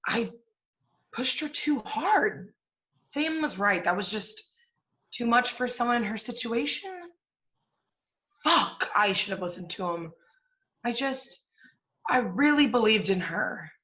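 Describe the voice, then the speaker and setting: A woman's voice: fairly high pitch, lively intonation, takes it slowly, long pauses, pushed and bright, heavily acted. Dull recording, guilt-ridden professional woman played onstage. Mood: guilty, distraught. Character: guilt-ridden, driven female literary professional, apologetic, pragmatic. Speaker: apprentice stage actor. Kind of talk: stage play acting.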